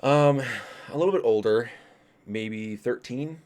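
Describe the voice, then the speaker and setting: A man's voice: mid-range voice, a little slow, darkish tone, smooth. A man in his late thirties from Colorado casually tells his own ghost stories to viewers, rambling with frequent restarts and asides. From Colorado, USA. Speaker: vlogger. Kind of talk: casual ghost story telling.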